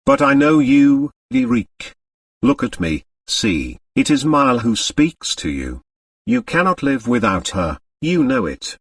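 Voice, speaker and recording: A man's voice: mid-range voice, very animated, a little slow, no echo, distant mic. A man voicing a seductive, possessive foreign woman dancer in an audiobook, coaxing and emphatic. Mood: coaxing, adoring. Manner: dramatic, coaxing, emphatic repetition. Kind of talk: audiobook character acting.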